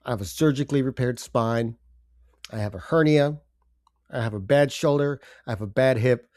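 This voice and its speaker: Older male podcast host with a head cold, stuffy and low-key, dark mellow tone, dry close sound. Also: no echo. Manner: halting, subdued, with pauses and fillers. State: congested from a cold. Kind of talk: personal confessional monologue.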